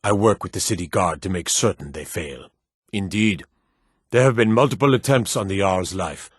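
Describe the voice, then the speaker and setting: Casting: a watchful city guard for a fantasy game, gruff and authoritative, raspy lower register. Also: male voice, moderate pace, no echo, studio quality. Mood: stern, watchful. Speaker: video game voice actor. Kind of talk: scripted fantasy game dialogue.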